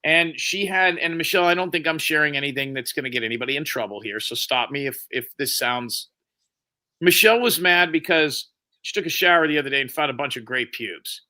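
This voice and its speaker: A man in his forties, teasing and crude, chatting on a livestream with loud outbursts. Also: on the high side, brisk. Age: 40s. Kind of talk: crude joking chat on a livestream.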